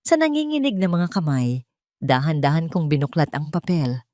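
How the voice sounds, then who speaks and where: A woman narrates a dramatic first-person story. Her voice sits on the low side, and the recording is close and dry, with little room sound. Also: animated, moderate pace, darkish tone, no room sound.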